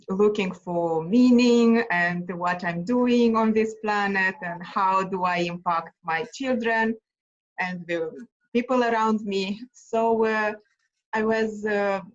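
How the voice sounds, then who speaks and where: A woman in her forties from Moldova, speaking in a casual interview and pausing often with fillers. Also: mid-range voice, steady tone. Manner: hesitant, with frequent uh fillers. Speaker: interviewer and online entrepreneur. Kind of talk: casual video chat interview. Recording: dull lo-fi sound.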